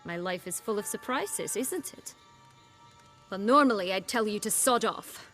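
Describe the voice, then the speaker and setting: A woman playing a proud, cool exiled noblewoman in a fantasy game, sardonic and commanding, with measured menace. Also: medium pitch, expressive, fairly bright tone, soft background music. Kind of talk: dramatic fantasy game acting.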